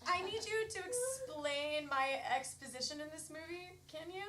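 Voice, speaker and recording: Woman playing a high-voiced anxious, naive doll, echoey stage. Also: animated, moderate pace, fairly bright tone. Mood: nervous, apologetic. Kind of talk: improvised audio comedy. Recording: echoey stage, clear background noise.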